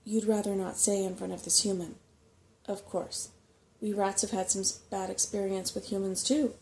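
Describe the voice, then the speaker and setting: A bustling old male rat from a bedtime storybook, acted out by a woman. The voice is mellow and crackly, fussy and emphatic, full of know-it-all chattiness. A light background noise sits under it. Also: medium pitch, level tone, normal speed, close to an everyday voice. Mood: chatty, confident.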